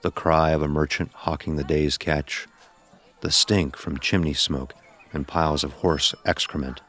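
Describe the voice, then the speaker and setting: Very deep, solemn old man. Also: fairly even intonation, a little slow, a bit bright, faint music behind, heavily acted. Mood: reflective. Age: older. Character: weary old man narrating his dark memoirs. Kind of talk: scripted audio drama narration.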